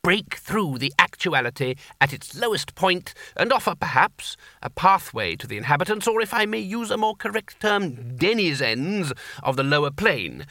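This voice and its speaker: A man's voice: fairly high pitch, very animated, normal speed, pushed and bright, no room sound, voice pushed up, full character voice. A man voicing a fussy, rambling wizard law lecturer who hesitates and stitches together fractured clauses. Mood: flustered. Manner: flustered, hesitant, wandering clauses with ums.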